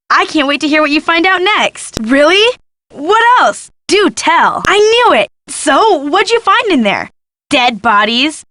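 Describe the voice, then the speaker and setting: A woman's voice: sits high, bright, forceful tone. A woman playing a gossipy, snarky young female photojournalist in a video game, with sardonic, dramatic emphasis. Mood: eager, prying. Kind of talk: video game character dialogue.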